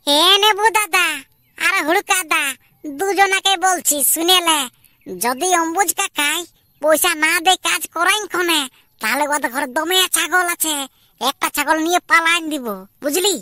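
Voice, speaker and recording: A woman plays a cheeky young schemer in a village cartoon, with a rural Purulia Bengali accent. The voice is extremely high, bright and very dry. The delivery is insistent and bargaining. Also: normal speed, dry recording. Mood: scheming. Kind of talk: amateur comic cartoon dialogue.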